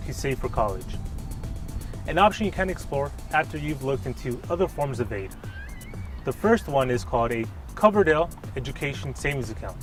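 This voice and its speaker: A man, a financial planner, presenting a scripted explainer in an upbeat, clear way. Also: mid-range voice.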